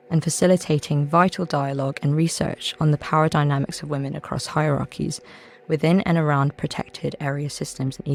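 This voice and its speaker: Gravelly-voiced woman podcast host. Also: low-pitched, slight background noise. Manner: measured, reads a formal guest introduction. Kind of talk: interview podcast, scripted intro then conversation.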